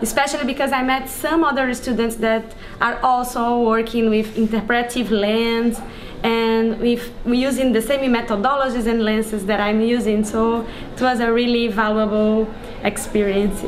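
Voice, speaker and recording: A young woman from Brazil, a PhD student, speaking to camera in a measured way, sometimes searching for words. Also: on the high side, flat delivery, slight background noise, low-quality recording. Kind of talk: interview to camera. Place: indoor hall.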